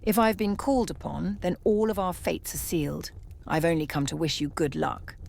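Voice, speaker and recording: An actress playing an ancient immortal lioness who speaks in a measured, solemn and knowing way. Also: female voice, fairly low pitch, fairly even intonation, gravelly, a little mellow. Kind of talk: audio drama roleplay.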